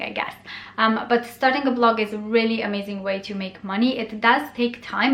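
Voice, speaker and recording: A young woman, a native Romanian speaker, chats to camera in a slightly high voice. She sounds friendly and loose, with plenty of "um"s. The sound carries a noticeable room echo. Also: fairly bright tone. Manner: chatty, friendly, many fillers. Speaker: blogger and YouTuber. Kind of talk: casual talk to camera. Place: bright bedroom, indoors.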